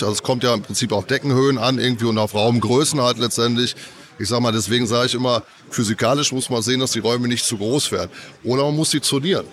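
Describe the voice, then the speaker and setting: A man's voice: mid-range voice, fairly bright tone. A man with a cold, explaining audio tech at a trade fair in a loose, filler-heavy way. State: has a cold. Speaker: audio company representative. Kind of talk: casual trade-fair interview.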